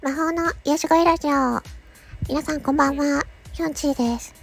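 A woman hosting a casual solo audio show, chatting playfully with self-mocking asides and a husky, slightly creaky voice. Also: high-pitched, normal speed, faint music behind.

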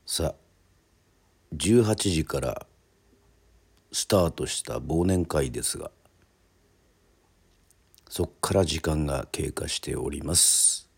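Slow, low-voiced Japanese man introducing radio drama. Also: vocal fry, husky. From Yoron Island, Japan. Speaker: radio host and narrator.

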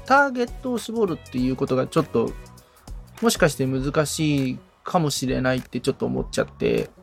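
Tentative male learner with a creaky, lively voice. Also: medium pitch, brisk, soft background music. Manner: tentative, thinking aloud, frequent soft agreement. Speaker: podcast assistant and learner. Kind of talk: casual, hesitant questioning and listening.

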